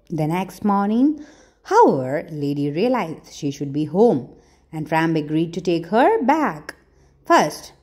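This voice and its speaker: A woman reads a children's storybook aloud in a soft, mellow voice. Her pitch rises and falls a great deal as she takes her time over each phrase. The delivery is expressive, with dramatic pauses for young listeners. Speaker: storyteller, channel host.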